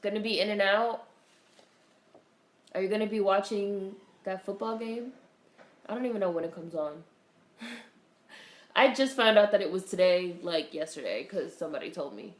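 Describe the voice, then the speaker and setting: A woman hosting a casual livestream, greeting viewers in a relaxed, chatty way while congested with a cold. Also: medium pitch, moderate pace, pauses often, echoey room. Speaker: livestream host.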